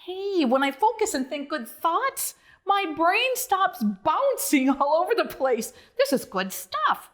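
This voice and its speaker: Woman voicing an excitable high-pitched little frog. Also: slow pace, full character voice. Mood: excited relief. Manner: exclaiming, sing-song, repeats sounds. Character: bouncy excitable brave little preschool frog. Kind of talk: children's storybook read-aloud.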